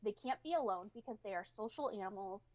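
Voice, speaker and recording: A woman's voice: medium pitch, expressive, quick pace, darkish tone. A woman telling personal stories in a casual, candid chat with informal wording. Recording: slightly dull, lo-fi audio.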